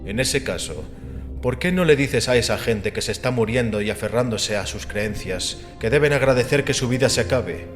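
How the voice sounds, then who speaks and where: A man's voice: mid-range voice, animated, moderate pace, in a large hall, lightly acted. A man voicing an imposing male skeptic who challenges and taunts in an audio story. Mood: mocking challenge. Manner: probing, taunting rhetorical questions. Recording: music under the voice.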